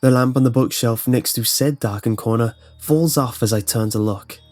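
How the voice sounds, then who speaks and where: A man's voice: mid-range voice, moderate pace, darkish tone. A man reading short first-person horror anecdotes as a scripted narration. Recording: voice-over with music behind. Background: music.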